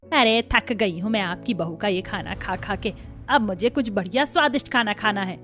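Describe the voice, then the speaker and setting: A woman voicing a bossy, complaining older mother-in-law in an animated family story. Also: medium pitch, normal speed, close to an everyday voice. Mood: fed up. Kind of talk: Hindi cartoon story dialogue. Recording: music behind the voice.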